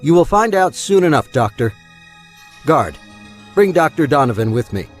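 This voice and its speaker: An actor playing a bitter, wealthy old miser in an audio drama, speaking with menacing politeness. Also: male voice, fairly high pitch, very animated, moderate pace, soft background music. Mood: coolly menacing. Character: cold, bitter rich old miser holding captives.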